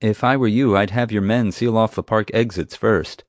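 A man voicing a concerned, practical father with a measured, matter-of-fact manner in an audiobook. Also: fairly low pitch, fairly even intonation, no room sound, studio quality, pitched above the performer's own voice, lightly acted. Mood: firm, reasoning. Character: concerned, level-headed father, a practical peacemaker. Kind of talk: audiobook character dialogue.

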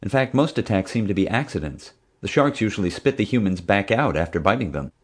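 Man voicing a bright, anxious schoolboy explainer. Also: lowish voice, big pitch swings, brisk, breathy edge. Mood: explaining. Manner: explanatory, evasive under pressure. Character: smart, anxious schoolboy, son of zoo scientist.

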